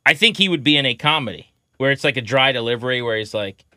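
A man's voice: medium pitch, animated, quick pace, pushed and bright, dry recording, clean studio sound. A man hosting a sports podcast, chatting in an emphatic, playfully opinionated way. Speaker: podcast host.

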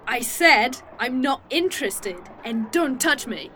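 Actress voicing a snarky woman time traveler, fake arm, quick-witted, slightly high, noisy background, audio drama. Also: expressive. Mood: annoyed. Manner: dry, teasing, quick-witted. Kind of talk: indie audio drama acting.

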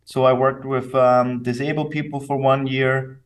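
A young man from Austria, raised speaking English and German, answers questions thoughtfully and carefully, pausing and restarting as he goes. His voice sits at an average pitch for a man. He is picked up from a little way off the mic on what sounds like a remote call. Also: flat delivery, far from the mic. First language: German and English, bilingual. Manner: thoughtful, measured, with fillers and restarts. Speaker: company co-founder, guest. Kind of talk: casual interview answers.

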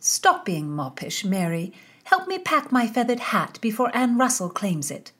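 A woman voicing a spirited young maid of honour with a bright, wry manner in an audiobook. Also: fairly high pitch, very animated, unhurried, pitched above the performer's own voice, exaggerated voice.

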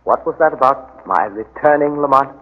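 A man playing a courteous but sinister gentleman host, smoothly evasive, in 1940s radio drama style. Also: mid-range voice, normal speed, close to an everyday voice. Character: suave, sinister male host of a remote ruined house. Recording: muffled, hissy 1940s radio recording.

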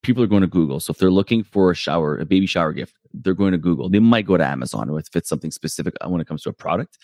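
Low-voiced man rambling on his podcast. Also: expressive, very fast, darkish tone, dry recording, clean studio sound. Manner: rambling, self-deprecating, many fillers and restarts. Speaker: podcast host. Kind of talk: casual solo podcast talk.

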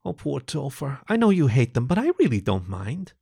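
A man's voice: medium pitch, wildly swooping pitch, moderate pace, gravelly, warm tone, husky, voice pushed up, exaggerated voice. A man voicing an affectionate, self-assured female cat in a story, purring and mewing her words. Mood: tender purring.

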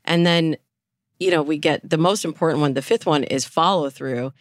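Woman dating coach, notably low register, explanatory interview delivery with illustrative self-talk, very dry recording. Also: moderate pace, no echo. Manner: explanatory, illustrates with imagined inner dialogue. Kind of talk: podcast interview, explaining advice.

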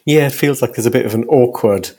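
A man's voice: medium pitch, quick pace, mellow. A man interviewing a guest conversationally, with wordy, meandering questions as the host.

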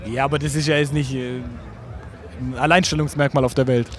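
A young man, telling anecdotes in a colloquial, halting, casual chat. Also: mid-range voice, level tone, some chatter behind, slight background noise.

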